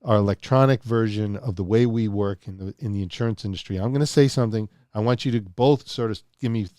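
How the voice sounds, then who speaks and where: Mellow-voiced male interviewer, measured. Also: fairly low pitch, no room sound, clean studio sound. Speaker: host, interviewer. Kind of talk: conversational company interview.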